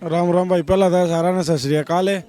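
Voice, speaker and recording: A young man speaks with a Haryanvi accent, his very high voice strained and hoarse. He talks bluntly and defiantly, rambling from point to point like a farmer answering a reporter in the street. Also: steady tone, fairly quick, mellow. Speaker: protesting farmer. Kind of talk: outdoor street interview.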